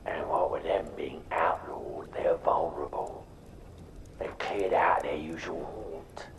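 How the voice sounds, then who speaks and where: An actor playing a sly, gruff underworld informant in a video game, with a breathy, whispery growl and a conspiratorial manner. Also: male voice, very deep voice, steady tone, slow pace, reverberant, distant mic, heavily acted, low-quality recording. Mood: sly, informative. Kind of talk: video game character acting.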